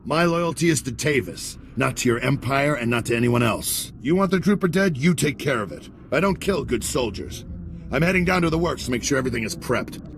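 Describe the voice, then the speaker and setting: An actor playing a hardened veteran soldier turned rogue in a video game cutscene, talking in curt, blunt military phrases. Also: male voice, medium pitch, animated, fairly quick, over music, natural voice.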